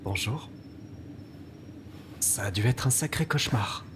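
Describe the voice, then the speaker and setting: A man voices a mysterious, protective gentleman in a French game dub. He speaks at a medium pitch, composed and solicitous, with clearly noisy ambience around him. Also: expressive, unhurried, natural voice. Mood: calm concern. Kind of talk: French video game dubbing.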